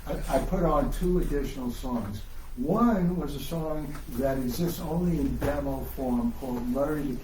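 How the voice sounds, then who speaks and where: A man's voice: a little high, dark tone. An older man from the Bronx, an author talking casually and self-deprecatingly to a live audience as he tells stories. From the Bronx, New York. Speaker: author at a book event. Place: bookstore, live event. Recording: echoey room, distant mic, faint noise.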